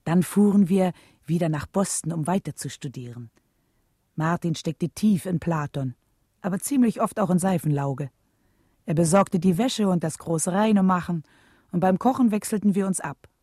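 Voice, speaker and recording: A woman playing a reflective, devoted wife who narrates her memories in a German radio drama, with a husky, mellow, measured delivery. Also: on the low side, dry recording, studio quality.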